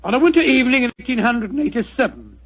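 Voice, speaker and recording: A man's voice: extremely high pitch, sing-song, rapid-fire, breathy edge, no room sound, exaggerated voice. An actor playing a genial, bumbling older doctor, who stammers and chuckles as he tells a tale in 1940s radio acting. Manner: blustery and stammering, chuckles often. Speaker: narrator of the tale within the play. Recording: dull 1940s radio recording.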